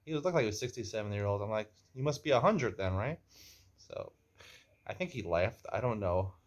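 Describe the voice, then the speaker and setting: A man's voice: on the low side, lively intonation, a little fast, highs cut off. A man in his forties hosting a casual show, chatty and teasing as he tells stories and jokes with a guest. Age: 40s. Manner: jokey, teasing, chatty storyteller. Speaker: host. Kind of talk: casual chat and storytelling. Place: Israel.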